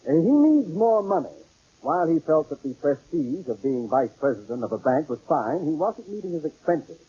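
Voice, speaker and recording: Very raspy older blustering boss. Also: male voice, a little high, big pitch swings, moderate pace, full character voice. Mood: blustery. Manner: blustery, repeats himself. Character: older blustery, chuckling male bank boss. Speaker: radio comedy actor. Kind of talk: 1950s radio sitcom acting. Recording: dull vintage radio recording.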